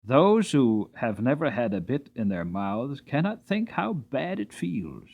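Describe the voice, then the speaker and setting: A man's voice: medium pitch, big pitch swings, unhurried, gravelly, phone-quality sound, full character voice. Spirited young storybook horse, male narrator, earnest, theatrical, very varied intonation. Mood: indignant.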